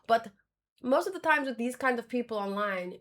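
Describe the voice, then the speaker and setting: A woman with a slightly high voice chats as a streamer sharing her reactions. Her delivery is informal and unscripted, often hesitating and rephrasing mid-thought. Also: animated, moderate pace, a bit bright. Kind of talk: casual livestream commentary.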